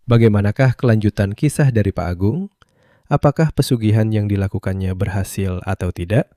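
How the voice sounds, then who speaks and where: A man reads a suspenseful episode outro as narrator, his voice low and darker in tone. The recording is close and dry. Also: fairly quick, mellow, no room sound. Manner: teasing, suspenseful. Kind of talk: scripted narration.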